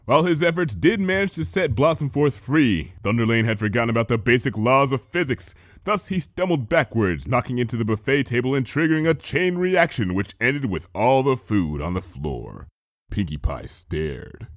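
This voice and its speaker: Expressive man narrating stories, dry close sound. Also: medium pitch, moderate pace, no room sound. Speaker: fanfiction reader. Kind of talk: dramatic scripted story narration.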